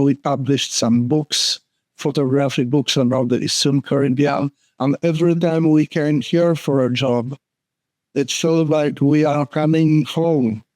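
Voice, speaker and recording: An older man with a Polish accent talks at a slow, deliberate pace, earnest and unhurried, breaking off and restarting his phrases. His voice is dry and close, with no room around it. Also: on the high side, fairly even intonation, a little mellow, no echo. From Poland. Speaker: guest photographer. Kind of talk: casual interview.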